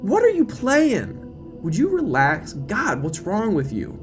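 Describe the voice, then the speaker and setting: A man plays a sassy young woman at the movies in an audio drama, pitched well up. She is streetwise and easily scared, scolding and exclaiming sharply, with music behind her. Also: huge pitch swings, measured pace, exaggerated voice. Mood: irritated. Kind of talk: audio drama character reading.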